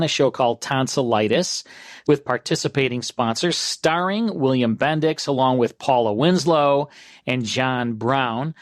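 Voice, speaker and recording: Male radio host, medium pitch, conversational program introductions, dry studio sound. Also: no room sound.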